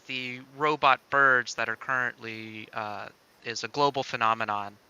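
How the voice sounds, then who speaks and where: A man's voice: mid-range voice, bright, forceful tone, smooth, poor audio. A man co-hosting a casual livestream, bantering in a playful, joking manner. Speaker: stream co-host.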